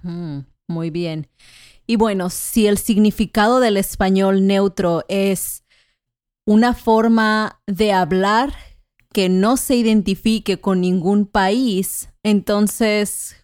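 A Mexican woman co-hosting a podcast, speaking in a measured, clear way for learners and often affirming with soft murmurs. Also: medium pitch, no room sound, clean studio sound. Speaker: podcast co-host. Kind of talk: slow, clear conversational chat for learners.

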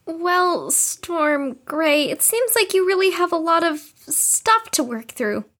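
A woman's voice: very high-pitched, big pitch swings, takes it slowly, fairly bright tone, heavily acted. A woman playing an earnest, nosy young woman, a little defensive, in an audio drama. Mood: defensive, hesitant.

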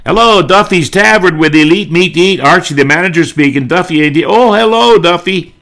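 A man's voice: high-pitched, brisk, gravelly, a bit bright, pitched above the performer's own voice. A man voicing a breezy, folksy tavern manager from old radio comedy. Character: breezy male tavern manager answering the telephone.

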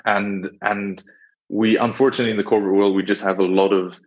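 A man with a Swiss-British accent and a low voice, talking as a podcast guest. He speaks thoughtfully, with plenty of fillers and hedges. His voice comes through a dull, muffled remote line. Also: little pitch movement, fairly quick. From half Swiss, half British. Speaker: guest, engineering director. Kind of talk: reflective interview talk.

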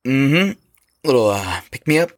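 A man's voice: mid-range voice, very animated, slow pace, dry recording, clean studio sound. A man playing a laid-back rock musician with an interest in the occult in an audio horror drama. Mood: easygoing.

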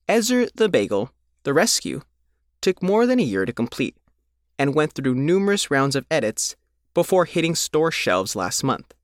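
A man reading a scripted news feature as its reporter, in measured, deliberate short phrases. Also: fairly high pitch, no room sound, studio quality. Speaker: reporter reading his own written feature.

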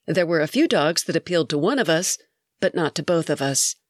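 A middle-aged woman hosts her own show, her voice a bit low and stuffy from a cold. She reads a personal story in a reflective, gently wry way. The recording is dry and close, with no room sound. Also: expressive, fairly quick, no echo. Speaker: podcast host. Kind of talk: reading a personal essay.

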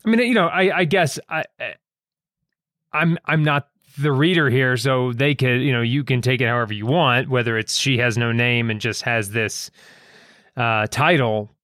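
A man hosting a casual discussion of his own writing, speaking in an earnest, somewhat halting way. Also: medium pitch, a bit bright, no echo, clean studio sound. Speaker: host and writer.

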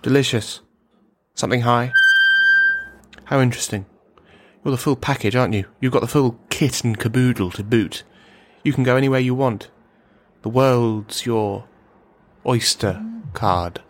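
A man's voice: medium pitch, close to an everyday voice. A man playing an unhinged, paranoid loner who rambles on, taunting and insulting whoever is in the room, in an audio drama. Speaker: radio play performer. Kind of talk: radio drama monologue.

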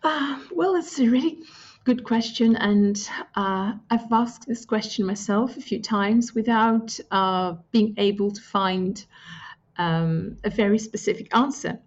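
Thoughtful woman, muffled audio. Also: mid-range voice. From Greece. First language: Greek. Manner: thoughtful, with frequent pauses and fillers. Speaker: guest. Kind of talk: reflective interview answers.

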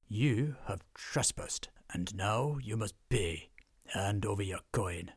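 A man voicing a fierce, burly male dwarf troll slayer who speaks slowly and menacingly. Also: lowish voice, animated, no room sound, studio quality, full character voice. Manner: gruff, slow and menacing.

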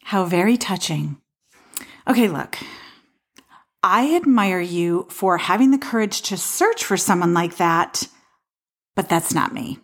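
An actress playing a skeptical, easily flustered woman in a comedic audio play, talking in a halting, conversational, protesting way. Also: on the low side, lively intonation. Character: skeptical, flustered woman who rejects romantic destiny.

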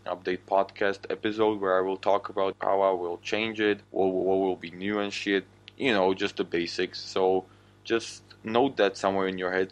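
A young man from Slovakia, a native Slovak speaker with a Slovak accent, hosting his own podcast in a casual monologue and swearing freely. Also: sits low. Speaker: podcast host.

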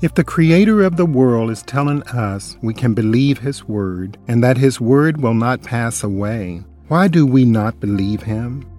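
A man hosts a radio Bible study, reading scripture in an earnest, measured way. His voice sits in a middle pitch and he presses questions to the listener. Faint music runs beneath him. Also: animated, darkish tone.

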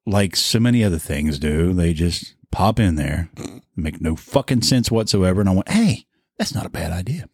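A man's voice: low voice, very animated, moderate pace, slightly rough, no room sound, studio quality. A man co-hosting a podcast, rambling through crude casual banter and laughing at his own jokes. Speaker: podcast co-host.

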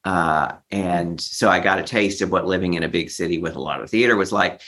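A man's voice: deep voice, brisk, bright, forceful tone. A man in his fifties from Texas, telling his personal story candidly and reflectively as an interview guest. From Texas, United States. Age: 50s.

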